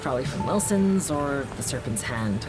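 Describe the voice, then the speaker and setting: A woman's voice: lively intonation, normal speed. A woman playing a level-headed crisis coordinator, narrating an audio drama in a casual, conversational, matter-of-fact way. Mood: reflective, casual. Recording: music and engine rumble behind.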